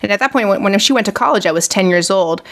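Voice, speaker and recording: A woman's voice: medium pitch, animated, fast talker, fairly bright tone. A woman from Argentina, a physician, talking casually in an interview with lots of "like" and "you know" and frequent restarts. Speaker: physician, podcast guest.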